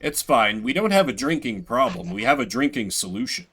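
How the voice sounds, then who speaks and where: Wry, animated male game streamer. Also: mid-range voice, fairly quick, creaky. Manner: wry, joking. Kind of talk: casual playthrough commentary, reading game text aloud.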